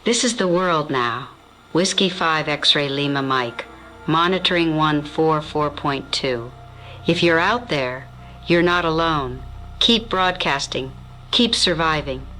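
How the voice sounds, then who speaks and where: A man playing a steady, weary survivor on the radio in an audio drama, with measured, deliberate delivery. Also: a little high, faint music behind, low-quality recording. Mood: somber resolve.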